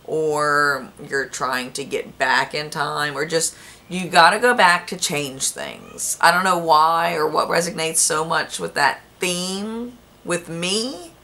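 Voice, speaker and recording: A young woman with a raspy voice, chatting casually and gushing about her favorite movies, thinking aloud as she goes. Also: deep voice, takes it slowly, pushed and bright. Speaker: vlogger.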